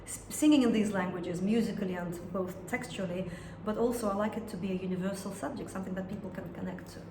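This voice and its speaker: A woman's voice: medium pitch, fast talker. A woman with a Russian accent speaks thoughtfully in an interview, often restarting phrases as she searches for the right words. She sits in a room with plenty of echo, and a light noise hangs under her voice. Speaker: singer and songwriter. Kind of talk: interview conversation. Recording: echoey room with some noise.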